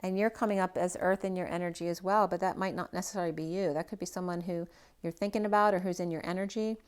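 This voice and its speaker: A woman's voice: on the low side, steady tone, fast talker, smooth. Rambling woman reading tarot cards. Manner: loose, rambling, piling up possibilities; thinks aloud with frequent "right?" asides. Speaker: tarot reader. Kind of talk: general tarot reading for a star sign.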